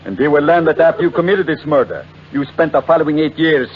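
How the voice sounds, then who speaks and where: An actor playing a coolly polite, menacing blackmailer in 1940s radio mystery style, with oily, formal, deliberate phrasing. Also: male voice, fairly high pitch, lively intonation, lightly acted. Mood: threatening, mocking. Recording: muffled old radio recording with noisy hum.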